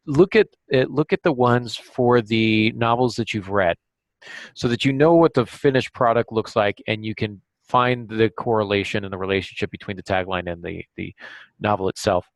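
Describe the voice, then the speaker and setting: Guy hosting a podcast, lowish voice, casual advice, kinda stop and start, dry sound no room. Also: dry recording, poor audio. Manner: halting, thinking aloud. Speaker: podcast host and novelist. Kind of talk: casual instructional discussion.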